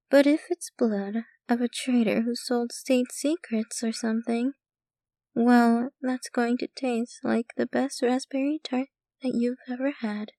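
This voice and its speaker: ASMR roleplay casting: a woman as a doting, possessive vampire girlfriend, ancient and wealthy, unhurried, with a creaky edge. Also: fairly high pitch, warm tone, dry recording. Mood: musing, proud. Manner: sweetly possessive, teasing, unhurried, addressing the listener intimately.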